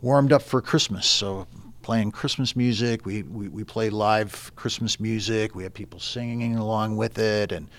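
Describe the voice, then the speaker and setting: A man who is a stage actor, chatting casually in an interview with halting, repeated words. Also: on the low side, fairly even intonation, fairly bright tone. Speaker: stage actor playing Santa.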